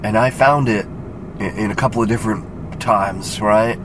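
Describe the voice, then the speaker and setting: A man hosting a faith podcast, talking earnestly and rambling with frequent "right?" asides, his voice gravelly from a cold. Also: on the low side, moderate pace. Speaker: podcast host. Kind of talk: reflective faith podcast monologue. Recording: loud road and traffic noise.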